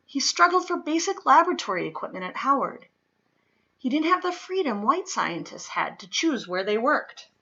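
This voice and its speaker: A woman with a fairly high, clearly bright voice reads a children's true-story picture book aloud. She phrases deliberately and leans on key words for young listeners. The recording is slightly dull and lo-fi. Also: very animated. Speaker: read-aloud reader.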